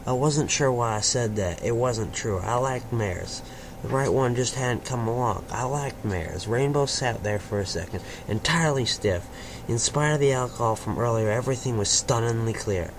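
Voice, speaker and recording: A man's voice: mid-range voice, moderate pace, background noise. A man reading first-person fan fiction aloud as the story's narrator in a scripted, dramatic style.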